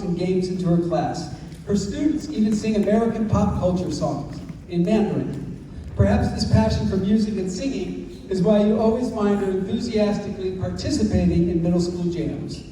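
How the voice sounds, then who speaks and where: A man's voice: high-pitched, normal speed. A man giving a formal, admiring introduction of a teacher at a school assembly. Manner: warm, admiring, formal delivery. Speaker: school administrator introducing a speaker. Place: large school hall. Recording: echoey hall from far off with room noise.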